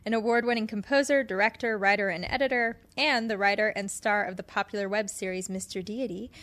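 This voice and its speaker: A woman's voice: mid-range voice, steady tone, fairly bright tone. A woman co-hosting a podcast, introducing a guest and teasing in casual chat. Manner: teasing, quick to laugh. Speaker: podcast co-host. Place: indoors, at the guest's home.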